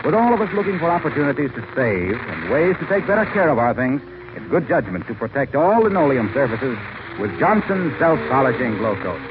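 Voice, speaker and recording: A man's voice: mid-range voice, sing-song, brisk. A man delivering a polished, persuasive scripted sponsor announcement in 1940s radio style. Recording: muffled, very noisy vintage radio recording.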